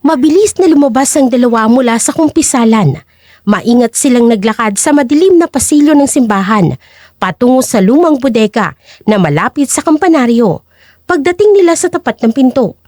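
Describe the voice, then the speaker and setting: High, lively woman story narrator. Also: dry recording, studio quality. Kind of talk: dramatic scripted story narration.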